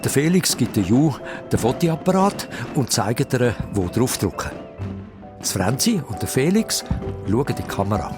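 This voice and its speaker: A man's voice: mid-range voice, big pitch swings, moderate pace, music in the background. A man with a Swiss German accent narrating a children's radio play as dramatised scripted storytelling.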